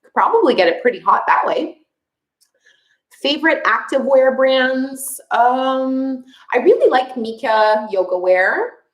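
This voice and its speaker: A woman's voice: high voice, big pitch swings, unhurried, roomy sound, poor audio. Chatty young woman, Quebec French accent. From Quebec, Canada. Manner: chatty, laughs often, many "like" fillers. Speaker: yoga instructor, channel host. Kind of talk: live chat answering viewer questions.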